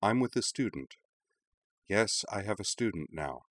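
A man's voice: on the low side, lively intonation, a little slow, dry recording. A man voicing an exasperated young woman in an audiobook, clipped, firm and dryly sarcastic. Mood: irritated. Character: young woman, sharp-tongued, exasperated with her mother. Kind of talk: audiobook character voice.

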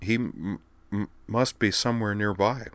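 A man's voice: lowish voice, steady tone, moderate pace, a bit bright, no echo, voice pushed up, lightly acted. A man voicing a wry young woman roommate in an audiobook, who stutters heavily on her words. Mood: explaining. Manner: heavy stutter, dry and wry. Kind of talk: audiobook character voice.

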